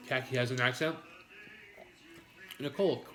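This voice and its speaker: A man's voice: mid-range voice, fairly even intonation, moderate pace, fairly bright tone, soft background music. A man with a New York accent eating on a live stream, rambling to his chat with frequent swearing and jokes. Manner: rambling, profane, jokey with chat.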